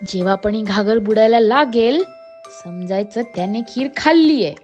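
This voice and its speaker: A woman's voice: fairly high pitch, normal speed, darkish tone, highs cut off, faint music behind, close to an everyday voice. A woman voicing a bossy big-brother monkey who leads his friends in a children's story. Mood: commanding. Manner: bossy, commanding.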